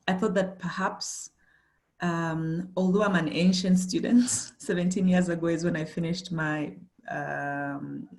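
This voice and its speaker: A woman's voice: lowish voice, darkish tone. A middle-aged woman giving a webinar panel talk, thoughtful and hesitant, with many fillers and restarts. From Uganda. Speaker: panelist, company managing director.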